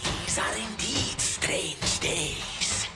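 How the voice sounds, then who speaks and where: An actor playing a greedy, menacing demonic collector in a video game cinematic, with a breathy rasp and a taunting, theatrical delivery. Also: male voice, sits high, drawn-out words, full character voice. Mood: sly menace. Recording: loud music under dialogue.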